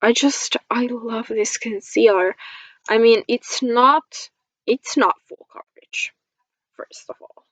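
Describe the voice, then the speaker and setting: A woman's voice: on the high side, slow pace, a bit bright, no room sound, rough recording. A woman from Finland chatting casually about products as a beauty vlogger, in a gushing, giggly manner. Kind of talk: casual product review chat to camera.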